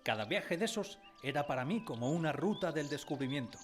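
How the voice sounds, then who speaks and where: A man's voice: a little high, expressive, a little fast, lightly acted. An actor narrating a radio drama as a man recalling his village childhood, wistfully, in a literary style. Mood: nostalgic. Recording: music behind the voice.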